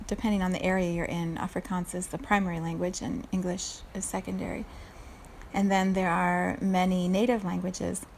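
Woman, 40s, from South Africa; calm reflective solo talk, even and flat in pitch, gravelly texture. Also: slight background noise. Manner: unhurried, thoughtful, laughs softly at herself.

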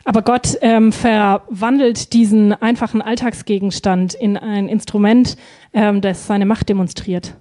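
A woman's voice: mid-range voice, steady tone, a little slow, mellow. A woman interpreting a sermon live, phrase by phrase, hesitating and feeling for words with frequent ums.

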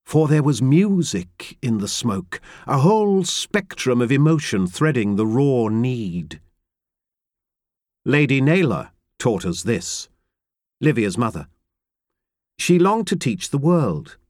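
Guy narrating an audiobook, slow and grave, voice rises and falls a lot, dry close mic. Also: medium pitch, very animated, darkish tone, no room sound. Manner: measured, reflective, grave storytelling. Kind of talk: first-person literary narration.

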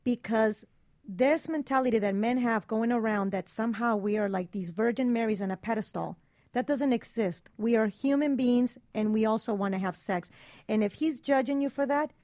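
Blunt, higher-voiced woman on talk radio. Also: normal speed, dark tone, dry recording, clean studio sound. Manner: blunt, emphatic. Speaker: radio show co-host. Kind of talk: opinionated talk radio discussion.

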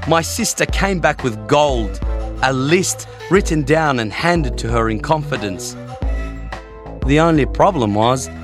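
Wry male storyteller over soft music. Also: medium pitch. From Australia. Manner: wry, self-mocking storytelling. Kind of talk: first-person story narration.

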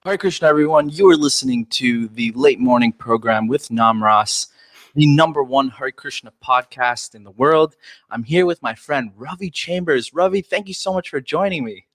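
A man's voice: mid-range voice, normal speed, fairly bright tone, no room sound. A man hosting a podcast, chatting casually and eagerly with his guest, often restarting his sentences. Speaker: podcast host. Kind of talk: casual interview chat.

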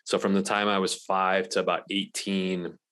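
A man's voice: deep voice, normal speed. A man in his thirties from Malaysia, a podcast guest talking casually about his career with many fillers. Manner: relaxed, rambling with many fillers. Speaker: podcast guest, accelerator co-founder. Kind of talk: casual interview talk.

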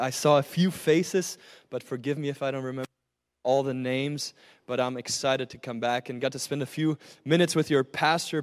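A man from Germany who partly grew up in Oklahoma, speaking as a guest pastor in an earnest sermon with personal testimony, often repeating words as he goes. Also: mid-range voice. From born and raised in northern Germany, then Oklahoma from age twelve.

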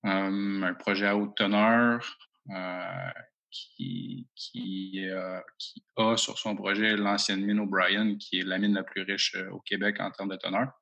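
A man's voice: deep voice, little pitch movement, slow, creaky, sharp tone, lo-fi sound. A man with a Québécois accent answering interview questions about his company, hesitant and full of fillers, recorded from home. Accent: Québécois French. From Abitibi-Témiscamingue, Quebec. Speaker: mining company executive guest.

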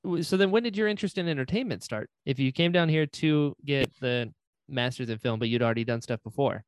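A man's voice: mid-range voice, fairly quick, no room sound. A man of thirty with an American accent, chatting casually as a podcast host and interviewer. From North Carolina and Florida, US. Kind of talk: casual chat.

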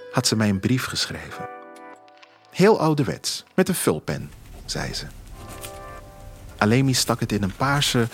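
A man reads a first-person story for an audio drama, with music playing clearly behind him. His delivery is measured and reflective, at a middling male pitch. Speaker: author reading own story. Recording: music under the voice. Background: music bed.

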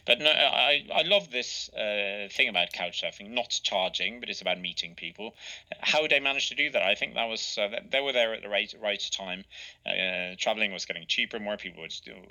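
Bright-voiced man, halting speech. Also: lowish voice, rough recording. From Norway. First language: Norwegian. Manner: halting, many restarts and fillers. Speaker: guest, travel author. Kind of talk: conversational interview.

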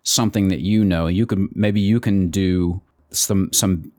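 Low-voiced man explaining haltingly. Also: fairly even intonation, darkish tone, dry recording. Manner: halting, repeats words while explaining. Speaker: podcast co-host. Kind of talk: casual conversation.

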